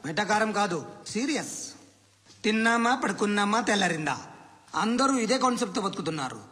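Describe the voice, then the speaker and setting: A man's voice: high voice, lively intonation, fairly quick, pushed and bright. A man playing a sarcastic police chief in a film, holding forth to reporters in a declamatory way. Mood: sardonic. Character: sardonic male police chief lecturing reporters. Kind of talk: film dialogue as press briefing. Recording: reverberant hall sound.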